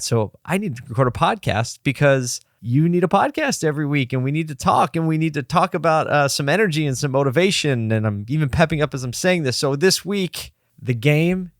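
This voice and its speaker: A man hosting a solo podcast from his office desk, slightly hoarse and low on energy, talking in a rambling, candid way and pepping himself up as he goes. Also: dry recording, studio quality. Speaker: podcast host. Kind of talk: casual solo podcast talk.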